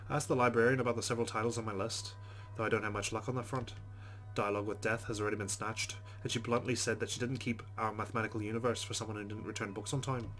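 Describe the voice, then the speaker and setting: A man's voice: lowish voice, a bit bright. A man hosting a let's play, reading visual novel text aloud and adding informal, chatty commentary.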